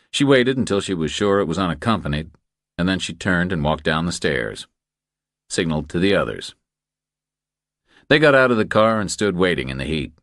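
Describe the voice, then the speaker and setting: A man's voice: low voice, fairly bright tone, dry recording, studio quality. A man reading a thriller audiobook as scripted narration.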